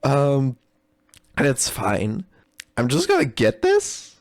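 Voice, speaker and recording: A man's voice: mid-range voice, huge pitch swings, takes it slowly, no room sound, clean studio sound. A man giving casual, unscripted live commentary as a game developer, rambling, sweary and self-deprecating, and often giggly. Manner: giggly, often breaking into laughter. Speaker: game developer recording a reaction video.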